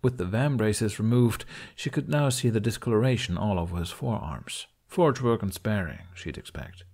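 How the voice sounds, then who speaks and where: A man's voice: lowish voice, lively intonation, moderate pace, darkish tone. A man reading scripted fiction as an audiobook narrator.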